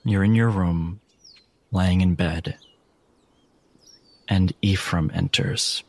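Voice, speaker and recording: A man working as game master, narrating a dramatic tabletop horror game deliberately, with pauses between phrases. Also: deep voice, fairly even intonation, creaky, a little mellow. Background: music.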